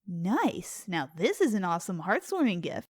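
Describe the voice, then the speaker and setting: A woman's voice: fairly low pitch, big pitch swings, moderate pace, darkish tone, slightly rough, no echo, clean studio sound. Woman playing a sullen teenage girl pony. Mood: pleased. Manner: grumbling, unimpressed. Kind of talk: fan fiction dramatic reading.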